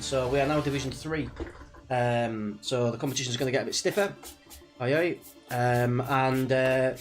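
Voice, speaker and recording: Sniffly British man chatting over music. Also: mid-range voice. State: sniffly from a cold. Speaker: live streamer, sim racing driver. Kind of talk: casual livestream chat before a race.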